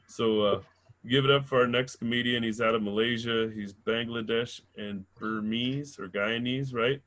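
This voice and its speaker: A man with a mid-pitched voice hosts a comedy show. He chats loosely with the crowd, swears casually and hypes up the next comedian. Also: fairly even intonation, moderate pace, fairly bright tone.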